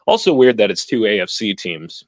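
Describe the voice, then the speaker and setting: Low-voiced easygoing fan, dry sound. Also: male voice, big pitch swings, normal speed, dry recording. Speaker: guest, football fan. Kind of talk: casual sports chat.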